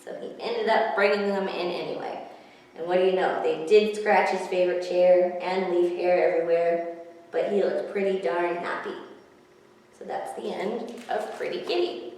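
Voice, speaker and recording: A woman's voice: lowish voice, steady tone, normal speed, a little mellow. A woman hosting a children's story time as a librarian, explaining things simply to young listeners. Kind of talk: picture book read-aloud and explaining to children. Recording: very echoey room, distant microphone.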